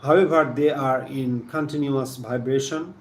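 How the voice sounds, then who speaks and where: A man with a Bangladeshi accent giving a live chemistry lesson, partly reading aloud in a halting, deliberate manner, repeating phrases. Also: mid-range voice, fairly even intonation. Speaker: science teacher hosting a live stream. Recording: echoey room with faint background noise.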